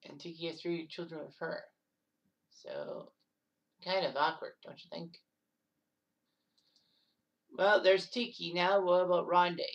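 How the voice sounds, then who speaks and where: A woman in her thirties narrating sports history casually, rambling with offhand asides and quick self-corrections. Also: fairly low pitch, gravelly, far from the mic, low-quality recording. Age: 30s.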